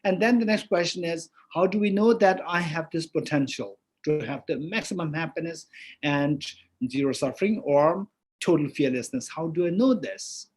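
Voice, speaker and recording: Husky middle-aged man teaching Buddhism. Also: a little high, normal speed. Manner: lively, rhetorical self-questioning with frequent restarts. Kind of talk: dharma teaching.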